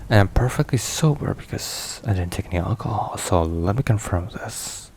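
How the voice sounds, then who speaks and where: A man playing a brooding but tender mafia boss boyfriend in an intimate ASMR roleplay, murmuring haltingly with hushed pauses. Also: lowish voice, expressive, a little hiss or hum. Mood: stunned, unsure. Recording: rain and thunder behind voice. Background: rain and thunderstorm ambience.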